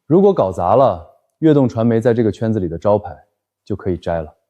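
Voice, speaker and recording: A man plays a demanding executive client in an animated drama. He is cold and exacting, with a low, dark, mellow voice. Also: a little fast. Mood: stern. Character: cold, demanding male corporate client boss. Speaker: actor in an animated short drama. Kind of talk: dubbed animated workplace drama.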